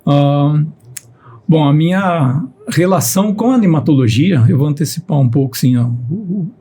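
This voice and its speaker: A man in his fifties with a Piracicaba countryside accent talks as a podcast guest. His voice sits fairly high for a man but sounds dark and mellow. It has a husky edge, and he often repeats a word before he settles into a sentence. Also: normal speed. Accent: Piracicaba countryside Brazilian Portuguese. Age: 50s. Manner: repeats words while starting sentences, steady explanatory tone. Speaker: guest scientist. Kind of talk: podcast conversation.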